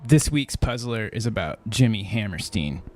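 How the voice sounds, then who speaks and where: A man's voice: lowish voice, lively intonation, normal speed. A man co-hosting a comedy podcast, tossing in dry, offhand quips in casual chat. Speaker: podcast co-host.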